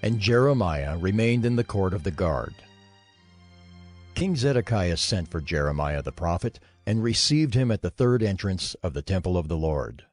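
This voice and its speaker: A man's voice: low-pitched, lively intonation, normal speed, a little mellow, soft background music. A man narrating scripture as part of a dramatized audio reading.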